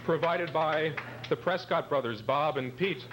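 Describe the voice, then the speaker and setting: A man's voice: a little high, measured pace. A man introducing a cast at a live stage event, speaking as the presenter. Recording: very noisy live event recording.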